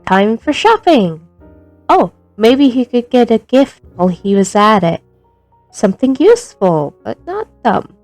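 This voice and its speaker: A woman's voice: on the high side, big pitch swings, a little slow, darkish tone, no room sound, faint music behind. A woman speaking informally and expressively as an amateur narrator of her own fan fiction. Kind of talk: informal intro and expressive storybook-style reading.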